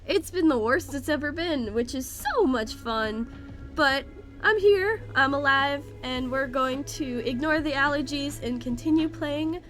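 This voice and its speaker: A chatty, upbeat woman talks through a video game. Her voice sits fairly high and sounds stuffy and sniffly from allergies. Game music plays clearly behind her. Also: measured pace, a bit bright. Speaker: let's play host. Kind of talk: casual gameplay commentary.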